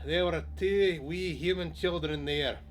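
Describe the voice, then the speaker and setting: A man's voice: fairly high pitch, measured pace, slight background noise, close to an everyday voice. A man voicing a grumbling fairy king who was woken from his nap. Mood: grumpy. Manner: grumbling, storytelling aside.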